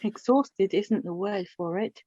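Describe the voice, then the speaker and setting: A middle-aged woman playing a chatty, wistful widowed mother of the bride in a naturalistic radio play, speaking in a halting, reflective, conversational way. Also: medium pitch, warm tone, slightly rough, no room sound, lo-fi sound. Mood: friendly, weary.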